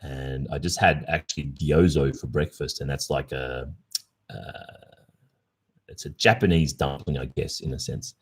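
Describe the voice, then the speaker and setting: Very deep-voiced Australian man. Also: steady tone, measured pace, breathy edge. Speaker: ASMR roleplay creator and livestream host. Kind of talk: casual livestream Q&A chat.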